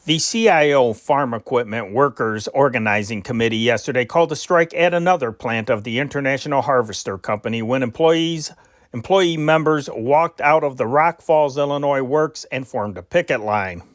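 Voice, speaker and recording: A man's voice: mid-range voice, normal speed, no room sound, clean studio sound. A man reading scripted news reports as an amateur, carefully spelling out names and figures with occasional stumbles. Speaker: amateur reader of historic newspaper reports.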